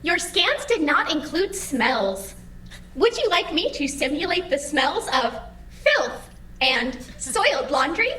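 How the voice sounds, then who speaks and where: A woman's voice: high voice, sing-song, slow pace, pushed and bright, roomy sound, recorded from a distance, a little hiss or hum, exaggerated voice. A woman playing an anxious, chirpy AI assistant in an audio drama, with formal, over-eager politeness. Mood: polite, probing. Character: anxious, chirpy female AI assistant guiding the digital afterlife.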